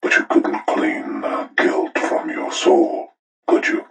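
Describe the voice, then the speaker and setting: A cold older killer in a horror game, with an extremely deep, breathy rasp. He speaks with ominous deliberation, like a judge handing down sentences. The voice rings with echo. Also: fairly even intonation, fairly bright tone, exaggerated voice. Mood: grave, judging. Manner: deliberate, ominous, coldly moralizing. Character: cold, menacing older male serial killer mastermind setting deadly games. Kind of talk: sinister villain monologue for a horror video game.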